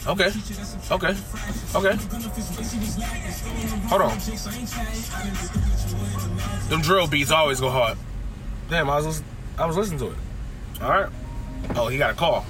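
A man's voice: on the high side, moderate pace, pauses often, over music. A young man, talking to the camera in a rambling, slangy, self-coaching way while driving his car. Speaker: vlogger. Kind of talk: casual self-talk vlog. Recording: loud car road noise.

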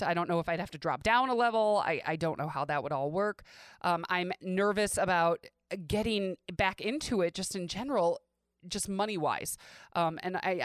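A woman's voice: lowish voice, clear, resonant tone, no room sound, clean studio sound. A middle-aged woman from the American Midwest with an American accent, hosting a podcast in a candid, casual, conversational way. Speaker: podcast host. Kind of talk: casual personal podcast monologue. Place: inside a home closet.

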